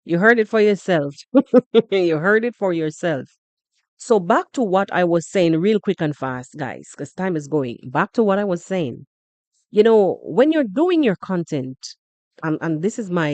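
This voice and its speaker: A woman with a Jamaican accent hosting a podcast, commenting in an animated, repetitive way. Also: on the low side, no echo. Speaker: podcast host. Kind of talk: casual commentary.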